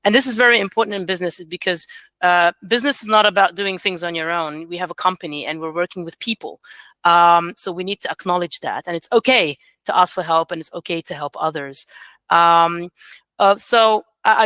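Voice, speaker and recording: A woman in her forties who learned English as a second language speaks with a bright, crisp and smooth tone. She talks in an encouraging, chatty way with plenty of ums and uhs. The sound is dry and close, as on a video call. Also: on the low side, fairly quick, no room sound. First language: not English. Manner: warm, encouraging, chatty with many fillers. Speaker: academic coordinator. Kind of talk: informal webinar presentation.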